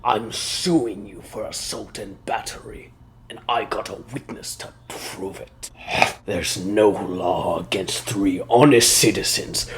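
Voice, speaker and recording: A man's voice: on the low side, slow pace, a little hiss or hum. A man playing an indignant, defiant small-time crook in a comic book dub, blustering and argumentative.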